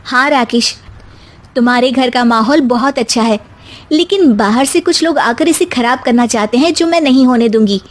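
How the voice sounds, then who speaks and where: A woman voicing a young newlywed daughter-in-law who is polite, calm and firmly assertive. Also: a little high, normal speed, pitched above the performer's own voice, close to an everyday voice. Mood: firm, indignant. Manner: polite but firm.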